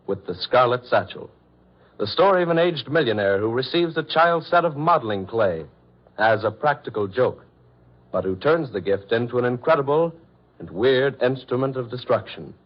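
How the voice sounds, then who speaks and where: A man announcing formally and dramatically in the style of a 1940s radio show. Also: medium pitch. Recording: muffled old radio recording.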